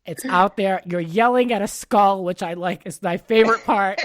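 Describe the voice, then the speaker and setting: A man's voice: high voice, moderate pace, dry recording, clean studio sound. A man hosting a podcast interview in a casual, conversational style. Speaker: podcast host.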